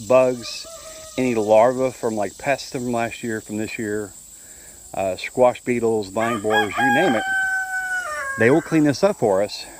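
A man filming his farmyard outdoors on a handheld phone, explaining at an easy, relaxed pace. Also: medium pitch, unhurried, a little hiss or hum. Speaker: homesteader showing his farm. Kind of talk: casual walk-through narration.

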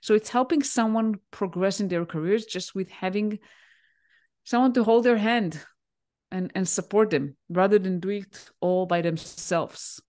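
A woman in her forties from Israel, a native Hebrew speaker, answering interview questions on a video call with a headset, pausing often with fillers. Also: mid-range voice, darkish tone. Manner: thoughtful, pauses with many "uh" fillers. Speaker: guest, leadership coach. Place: home room, indoors.

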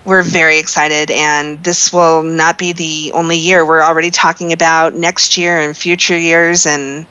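A woman's voice: low voice, little pitch movement, moderate pace, bright, forceful tone, clear, resonant tone. Earnest woman on dull lo-fi audio. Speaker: charity team member being interviewed. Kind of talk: casual interview answers. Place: online voice chat in a virtual world.

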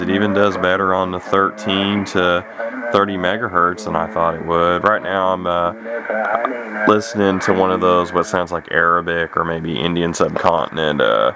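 A man chatting casually and unscripted as a radio hobbyist, rambling hesitantly and thinking aloud as he demonstrates. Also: low-pitched. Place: indoor room at home beside radios. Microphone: handheld camera mic, speaker behind camera. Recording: noisy handheld camera, loud radio static. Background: shortwave radio hiss and broadcast.

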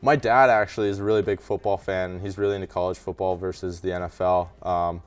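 A young man from Canada answers questions in a small brick-walled TV studio through a lapel mic. His voice sits low and comes across clean and smooth, and he speaks quickly and modestly, leaning on words like "kinda.". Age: young adult. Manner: relaxed, modest, many fillers like "kinda" and "um". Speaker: college hockey goalie guest.

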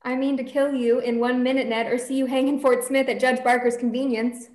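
Young woman acting as earnest cowboy-dreaming girl, highish voice, defiant, film table read. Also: little pitch movement, brisk, darkish tone, natural voice. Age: young adult. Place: room at home, on video call.

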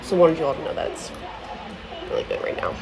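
A young woman in her twenties, slightly hoarse from losing her voice, chatting casually to her phone camera in a vlog. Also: fast talker. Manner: chatty, upbeat, lots of fillers. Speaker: vlogger. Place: city streets, airport lounge, resort. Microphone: phone held at arm's length. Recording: loud background noise.

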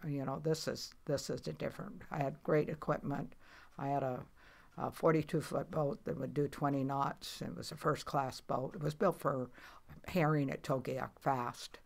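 A woman's voice: a bass voice, steady tone, normal speed, creaky, a little mellow. A woman in her eighties, a veteran commercial fisher, telling her story in a rambling, matter-of-fact way with many restarts. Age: 80s. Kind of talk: casual storytelling interview.

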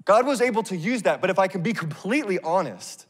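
A man in his thirties preaching on a church stage into a handheld mic, telling a story in a casual, animated way. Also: high-pitched, fast. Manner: animated, acts out scenes, casual fillers. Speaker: pastor. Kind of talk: sermon storytelling. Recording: long reverb of a big hall.

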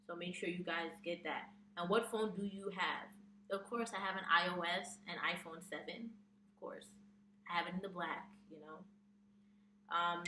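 A woman's voice: on the low side, sharp tone. A young woman chatting casually in a tag video, reading questions from her phone and answering with plenty of ums. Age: young adult. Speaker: vlogger. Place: small room with a plain wall, indoors. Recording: echoey room, distant mic, faint hum.

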